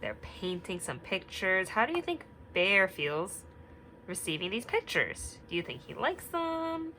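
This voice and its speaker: A young woman teacher reading a picture book aloud and asking the children gentle questions, sometimes dropping to a whisper. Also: mid-range voice, very animated, a little slow, a little hiss or hum. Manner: drops to a whisper at quiet parts.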